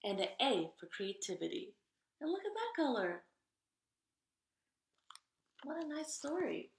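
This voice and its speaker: A woman reading a picture book aloud to young children, expressive and chatty, with asides to her listeners. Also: fairly high pitch, measured pace, gravelly, reverberant. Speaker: read-aloud storyteller.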